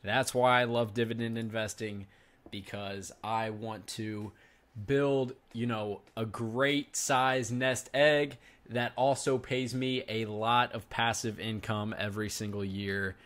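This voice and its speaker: A young man in his twenties with a somewhat low voice hosts a finance channel. He talks in a loose, chatty way and keeps turning to address his viewers directly. The delivery sounds like relaxed, unscripted commentary. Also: slow pace. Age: 20s. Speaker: finance YouTuber host. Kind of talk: casual reaction commentary.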